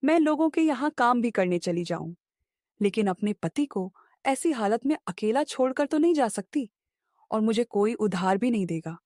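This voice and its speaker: Cartoon wife role: anxious, pious village mother, played by a woman, slightly high voice, earnest and reproachful, dry studio sound. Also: lively intonation, moderate pace, darkish tone, no echo, close to an everyday voice. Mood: sorrowful. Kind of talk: Hindi moral cartoon story acting.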